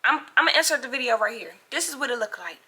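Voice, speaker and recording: High, bright-voiced young woman telling a story. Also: expressive, rapid-fire, breathy edge. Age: young adult. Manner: dramatic, slangy, acts out dialogue. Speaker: storytime vlogger. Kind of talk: animated casual storytelling to viewers.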